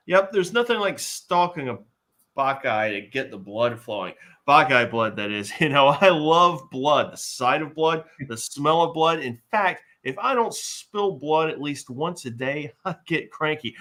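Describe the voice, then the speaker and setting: Casting: man as bumbling male sidekick, boastful and excitable, bright, highly animated voice. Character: Joxer, bumbling boastful eager male sidekick with false bravado. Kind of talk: live table read of a TV fantasy script.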